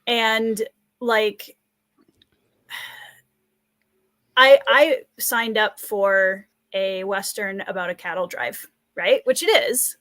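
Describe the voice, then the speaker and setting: A woman's voice: medium pitch, extremely slow, pauses often, fairly bright tone. A woman on a book discussion panel, giving her opinions casually.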